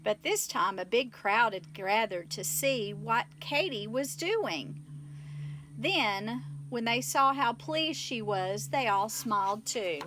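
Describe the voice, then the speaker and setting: A woman reading a children's story aloud in expressive scripted narration. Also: fairly high pitch, slow pace, a little hiss or hum. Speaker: storybook reader.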